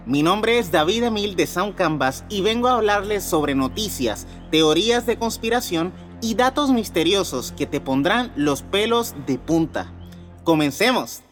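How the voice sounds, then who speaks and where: Male podcast host, dramatic scripted narrator who addresses the listener directly, set over a clear music bed. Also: sits high, lively intonation, measured pace, a bit bright. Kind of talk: scripted narration about mysteries and conspiracies.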